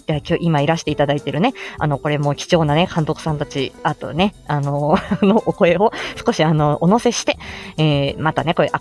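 Female amateur voice actor as livestream host: animated, swooping pitch, friendly tutorial chat, polite and encouraging, music underneath. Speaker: amateur voice actor explaining how to make voice samples. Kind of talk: casual explanatory livestream chat. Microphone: smartphone, close.

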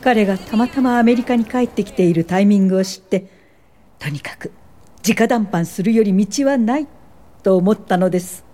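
An actress playing an older woman recounting her life in a radio drama, reflective and measured. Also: medium pitch, dark tone, a faint crowd, natural voice. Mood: nostalgic. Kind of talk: scripted first-person radio drama narration.

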